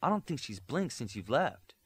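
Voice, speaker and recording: A confident, teasing young superheroine, played by a man reading an audiobook, talks boldly and pointedly. The voice is raised and lively in pitch. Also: normal speed, slightly rough. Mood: hopeful.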